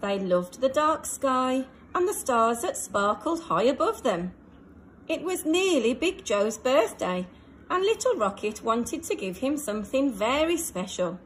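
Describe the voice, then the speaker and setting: A woman reading a children's storybook aloud as an early years teacher, in an expressive storytelling manner made for young listeners. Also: high voice, unhurried, slight background noise, low-quality recording.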